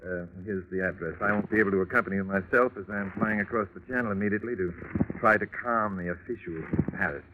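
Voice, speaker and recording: A man's voice: on the low side, fast, phone-quality sound, close to an everyday voice. A man playing a harried, businesslike art dealer with a formal, hesitant manner in a 1940s radio drama. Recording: noisy band-limited vintage radio recording.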